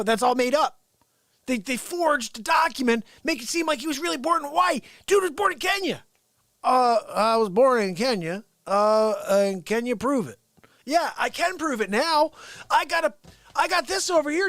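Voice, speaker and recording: A man's voice: very high voice, fairly bright tone, dry recording, clean studio sound. A man hosting an unscripted livestream, talking in a rambling, insistent and repetitive way. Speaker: livestream show host.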